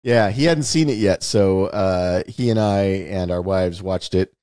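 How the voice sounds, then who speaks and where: Middle-aged American male podcast host, low register, very dry close sound, rambling casual chat with the odd swear. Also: measured pace, a little mellow, no room sound. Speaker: screenwriter and author.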